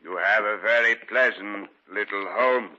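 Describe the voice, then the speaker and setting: An actor playing a sinister, courteous villain in an old radio drama, speaking quietly and smoothly with menace. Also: male voice, on the low side, a little slow. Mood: sinister courtesy. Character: sinister soft-spoken man Azor, menacing and courteous. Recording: dull old radio recording with faint hiss.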